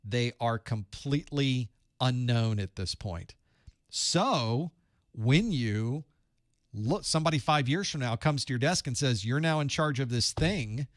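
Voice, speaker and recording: A man's voice: medium pitch, lively intonation, a little slow, dry recording, clean studio sound. A man hosting a live study group as an IT instructor, talking earnestly and off the cuff, often restarting and repeating phrases.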